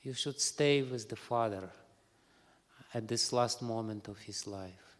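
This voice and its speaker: A man voicing a gentle, dutiful young prince who calmly reassures his family before leaving for exile. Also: on the low side, slow pace, voice pushed down. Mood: earnest. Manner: calm, earnest, reassuring. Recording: reverberant hall.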